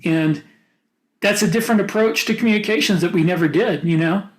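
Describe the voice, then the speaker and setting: A man's voice: high voice, brisk. A man co-hosting a podcast, talking casually with others about science fiction. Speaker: podcast co-host.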